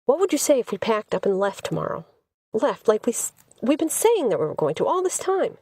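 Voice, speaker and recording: A woman's voice: a little high, very animated, fairly quick, dark tone, slightly rough, no echo, clean studio sound. Woman reading as an earnest, devoted young man. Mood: pleading, eager.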